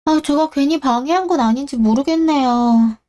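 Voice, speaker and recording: A woman's voice: high-pitched, normal speed, warm tone, voice pushed up, close to an everyday voice. A woman voicing a nervous young first-time job applicant in a radio drama, timid and polite.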